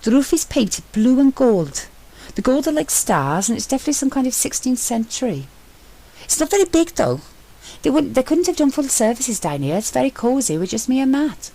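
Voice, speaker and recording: A woman putting on a high, excitable young man. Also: brisk, heavily acted. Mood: excited chatter. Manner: high-pitched, chattering commentary.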